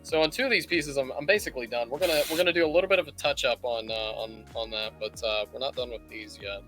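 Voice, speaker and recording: A man's voice: mid-range voice, quick pace, a bit bright, faint music behind. A man hosting a casual live painting tutorial, thinking aloud and rambling as he works. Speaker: livestream host teaching miniature painting.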